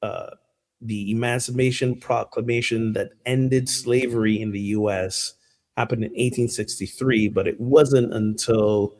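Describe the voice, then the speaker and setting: A man from Barbados, a minister giving a relaxed, conversational sermon full of fillers over a video call. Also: medium pitch, a little slow. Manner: relaxed and chatty, many fillers. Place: home abroad.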